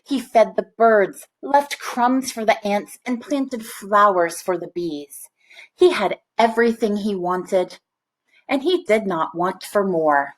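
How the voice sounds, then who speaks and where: A woman reading a picture book aloud to children, with expressive, deliberate phrasing. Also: mid-range voice, rough recording. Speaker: storybook reader. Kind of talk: read-aloud storytelling for children.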